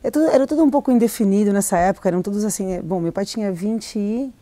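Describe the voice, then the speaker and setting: A woman's voice: mid-range voice, fast talker, dark tone, dry recording. A middle-aged woman, an author raised in Argentina, answering interview questions in a hesitant, reflective way with many restarts and fillers. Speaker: novelist, interview guest.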